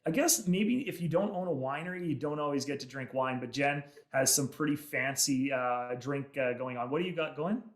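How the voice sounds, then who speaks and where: A man hosting a podcast, enthusiastic and friendly, pausing often with "uh" as he introduces and questions his guest. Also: medium pitch, reverberant. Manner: friendly, many "uh" pauses, enthusiastic. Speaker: podcast host. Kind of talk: casual interview chat.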